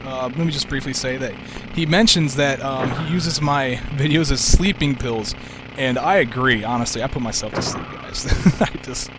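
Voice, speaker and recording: A man giving relaxed, soft-spoken commentary while playing through a game level. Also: medium pitch, normal speed, background noise. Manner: relaxed, soft-spoken, self-described soothing voice. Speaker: walkthrough gamer.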